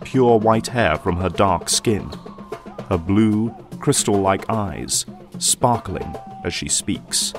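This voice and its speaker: Guy narrating an audio drama, low voice, solemn and dramatic, music loud behind him. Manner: solemn, descriptive storytelling.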